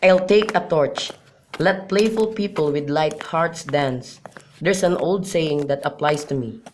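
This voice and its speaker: A man's voice: on the high side, a little slow, lightly acted. A young man in an amateur radio drama, playing a melancholy, lovesick youth in an earnest, mournful manner. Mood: glum. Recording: clearly noisy amateur recording with clicks.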